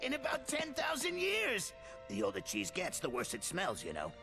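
An actor playing a scheming, excitable mad scientist in a video game, theatrical and flustered, eager to please. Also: male voice, high voice, moderate pace, vocal fry, music in the background, full character voice. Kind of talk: animated video game villain acting.

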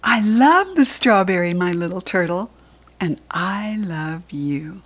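A woman with an American accent voicing a loving animal mama in a picture book, tender, drawn-out and emphatic. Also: lowish voice, expressive. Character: loving animal mama praising her baby's gift.

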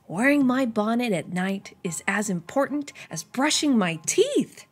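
A young woman hosts storytime for small children. Her delivery is lively and melodic, with a slightly husky edge to the voice. Music plays behind her as she speaks. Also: fairly high pitch, unhurried. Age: young adult. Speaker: children's storytime reader and host. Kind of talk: expressive picture-book read-aloud.

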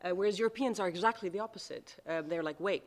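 A woman's voice: medium pitch, quick pace. A woman from the Netherlands with an American accent, a former legislator speaking on a conference panel in a large meeting room.

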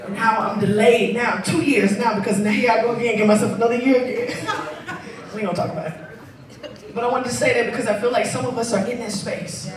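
A woman of thirty-nine preaching on a church stage with a handheld mic, chatty and playful, then building to shouts. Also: on the high side, steady tone, fast talker. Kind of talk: sermon. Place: large church sanctuary, stage. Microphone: handheld microphone. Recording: echoey hall, far mic, crowd responses. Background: congregation calling back.

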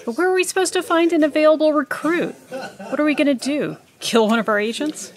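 An actress playing a cautious, skeptical government agent in an audio drama, speaking earnestly. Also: female voice, sits high, a little fast, lightly acted. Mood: alarmed, protesting. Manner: earnest, objecting. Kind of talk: scripted audio drama acting.

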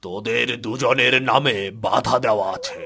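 A man voicing a pompous village exorcist in a cartoon, commanding, with drawn-out incantatory speech. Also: medium pitch, vocal fry, bright, forceful tone. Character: pompous male village exorcist chanting nonsense spells. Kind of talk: cartoon voice acting. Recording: faint music behind the voice.

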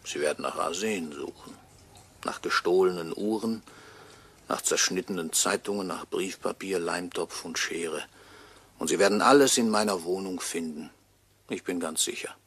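An actor playing a bitter murder victim who narrates a radio crime drama with brooding, sardonic deliberation and a gravelly voice. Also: male voice, low voice, normal speed, a bit bright, full character voice. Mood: resigned, brooding. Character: bitter murdered watchmaker's employee, narrating from beyond death.

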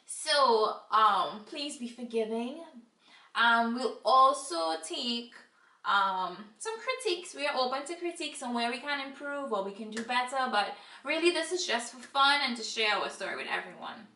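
Young trini woman doing a couple vlog intro, bright voice, echoey room, mic a bit far off. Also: on the high side, moderate pace. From Trinidad and Tobago. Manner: bubbly, animated, laughs often. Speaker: vlogger co-host. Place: small indoor room against a wall. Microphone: camera a few feet away. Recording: roomy echo from distant camera.

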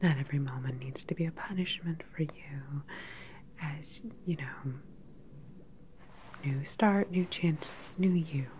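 A woman playing a calm, controlling evil scientist in a sci-fi ASMR roleplay, speaking in a soft, breathy, soothing clinical whisper. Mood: calm, soothing menace. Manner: slow, soothing, clinical personal attention. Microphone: close mic. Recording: faint background lab ambience noise. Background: low sci-fi lab ambience.

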